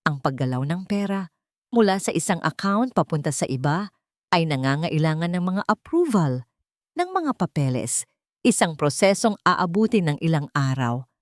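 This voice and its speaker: A woman tells a dramatic scripted story in a fairly low voice. Her pitch rises and falls freely, and the recording is dry and close. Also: no echo. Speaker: story narrator.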